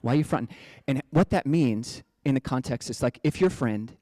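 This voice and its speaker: A man's voice: medium pitch, lively intonation, normal speed, dark tone, no room sound. A man from the United States, a Buddhist monk giving a casual, playful dharma talk. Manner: playful, chatty, breaks into joking slang voices.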